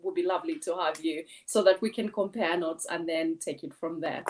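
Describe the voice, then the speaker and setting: A woman's voice: lowish voice, brisk, slight background noise. A woman hosting an online interview, asking her guest questions. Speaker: talk show host.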